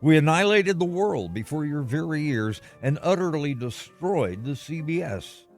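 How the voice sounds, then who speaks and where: A man giving a scripted, old-time radio sign-off, addressing listeners in measured, theatrical phrases. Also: on the high side, lively intonation. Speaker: lead actor giving sign-off out of character. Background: faint music.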